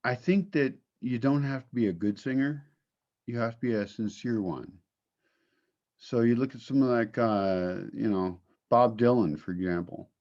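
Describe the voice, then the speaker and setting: Man, narrator, animated, self-deprecating, casual swearing, lo-fi dull audio. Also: mid-range voice, normal speed. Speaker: narrator and voice talent. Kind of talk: casual conversation.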